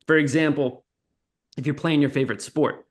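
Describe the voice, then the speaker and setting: A man's voice: on the high side, steady tone, normal speed. A man, a communications professor, giving teacherly explanations in an interview and often adding "right?" as a tag question.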